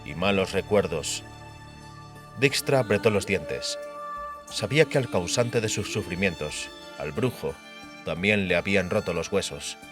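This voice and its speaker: A man with a fairly low voice narrates a fantasy novel. It is scripted audiobook storytelling, delivered over faint background music. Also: lively intonation, unhurried.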